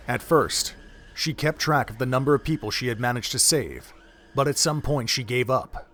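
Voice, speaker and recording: A man narrating a fan audio drama in a steady, measured storytelling manner. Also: mid-range voice.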